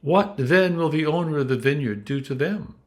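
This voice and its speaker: A man reading scripture as a clergyman, with a measured, dramatic storytelling delivery. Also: fairly high pitch.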